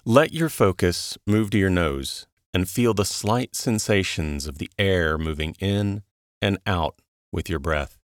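A man with a low voice leads a guided relaxation. He speaks very slowly and calmly, with soothing, measured instructions and long pauses. The sound is dry, with no room around the voice. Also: no room sound. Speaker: guided meditation narrator. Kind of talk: slow guided relaxation narration.

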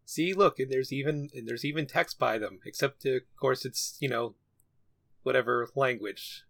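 A man's voice: mid-range voice, moderate pace, a bit bright, dry recording. A man improvising casually as a tabletop game player, tentative and thinking aloud. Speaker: guest player.

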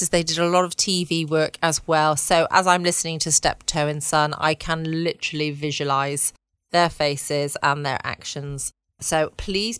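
A woman's voice: low-pitched, a bit bright, no echo. A woman, chatting as host of a late-night bedtime podcast. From United Kingdom.

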